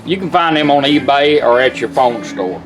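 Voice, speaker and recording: A man's voice: mid-range voice, animated, moderate pace, music in the background, poor audio. A man giving a casual, hands-on product demonstration, instructing step by step in a folksy, plain-spoken way. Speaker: radio restorer and demonstrator.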